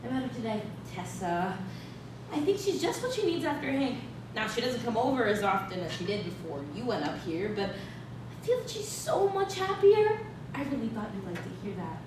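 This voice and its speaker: A woman's voice: a little high, big pitch swings, moderate pace. A woman playing a taunting, sarcastic estranged wife in a staged reading of a play. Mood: smug. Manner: needling, sarcastic. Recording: echoey, noisy stage recorded from far off.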